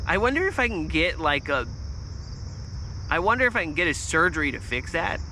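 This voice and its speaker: A man in his thirties with a squeaky, nasal voice, telling a story in a loose, swearing livestream ramble. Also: very animated, a little fast, bright, forceful tone, slight background noise, poor audio. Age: 30s. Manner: swears freely, restarts often, volume spikes. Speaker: vlogger on a livestream.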